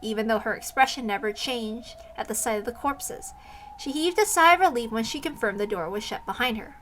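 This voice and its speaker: A woman's voice: fairly high pitch, a little fast, fairly bright tone, slight background noise, poor audio. A woman narrating a story in an amateur read-aloud, as its reader.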